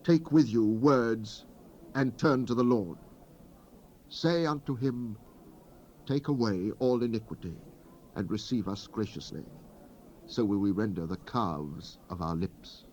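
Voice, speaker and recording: A man's voice: lowish voice, measured pace, pauses often, warm tone, lightly acted. A man playing a solemn Old Testament prophet who speaks for God in a declamatory, oratorical manner, in a dramatized scripture recitation from the 1970s. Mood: solemn, tender. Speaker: dramatized Bible reader. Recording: dull hissy cassette tape rip.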